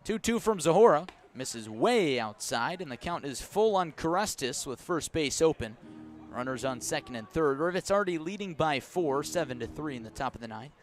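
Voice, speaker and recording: An American man doing live radio baseball play-by-play from a ballpark, slipping between pitch calls and conversational asides. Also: animated, normal speed, hardly any pauses, soft background music. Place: outdoors at a ballpark.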